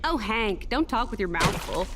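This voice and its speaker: A woman's voice: mid-range voice, very animated, moderate pace, natural voice. A woman playing a capable, earnest superheroine in a video game, speaking articulately. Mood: earnest, focused. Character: bright, capable superheroine working technical problems. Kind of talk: video game hero acting. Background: music.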